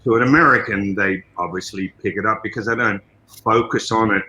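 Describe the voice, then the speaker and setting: An older man with an Australian accent, a guest on a podcast interview, telling stories in an animated, expansive way. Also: fairly low pitch, fairly quick, dull audio. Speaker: guest, martial arts trainer.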